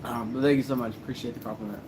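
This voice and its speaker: A man hosting a casual livestream, relaxed and joking as he reads comments after a few drinks. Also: mid-range voice, racing, background noise. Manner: relaxed, joking, a little tipsy. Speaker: host of a paranormal channel livestream.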